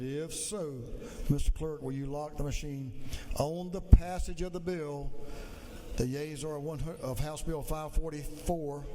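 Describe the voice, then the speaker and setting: Dark-toned man presiding in a reverberant chamber. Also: on the high side. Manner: measured, recites set procedural phrases. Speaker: presiding officer of a state legislative chamber. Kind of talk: formal parliamentary procedure. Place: large legislative chamber. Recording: long chamber reverb, faint noise.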